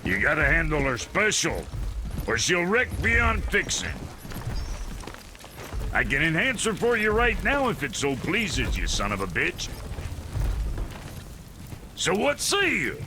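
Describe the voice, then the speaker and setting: A gravelly, foul-mouthed blacksmith. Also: male voice, fairly high pitch, wildly swooping pitch, slow pace, pauses often, noisy background, exaggerated voice. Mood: boastful. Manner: crude, rough grammar, loud exclamations. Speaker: smith in a video game.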